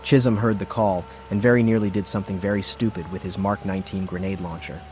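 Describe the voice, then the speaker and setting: Low-voiced man narrating an audiobook. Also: fairly even intonation, fast talker, a little mellow, faint music behind. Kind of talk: scripted fiction narration.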